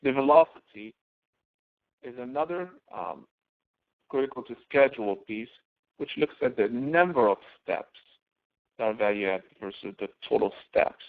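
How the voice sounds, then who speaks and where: A man's voice: mid-range voice, expressive, normal speed, vocal fry, pauses often. A man instructor giving an informal, explanatory lecture, emphatically repeating key phrases for stress. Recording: thin phone-like narrowband audio.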